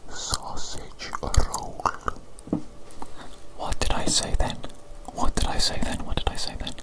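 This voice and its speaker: A woman whispering softly into a close microphone, repeating each phrase and gently teasing as an ASMR doctor roleplay. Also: a little fast. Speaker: ASMR creator. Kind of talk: ASMR roleplay of a medical exam.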